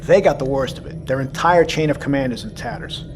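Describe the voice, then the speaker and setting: A grave, weary submarine commander, played by a male actor speaks over clear music. His voice is mid-pitched, measured and blunt, with military bearing in an audio drama. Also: natural voice.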